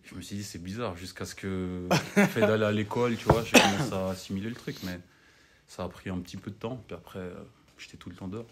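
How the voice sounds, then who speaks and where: A young man from Cameroon, an ice hockey player, chatting as a guest in a relaxed way and often adding "tu vois". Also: fairly low pitch, fast talker, very noisy. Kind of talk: casual interview chat.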